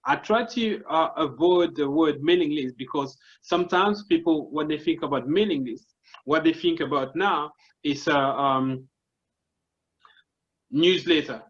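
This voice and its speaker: Congolese French-accented man, slightly high voice. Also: a little slow, fairly bright tone, highs cut off. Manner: earnest, explains step by step with frequent restarts. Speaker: marketing consultant guest. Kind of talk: casual explanatory interview talk.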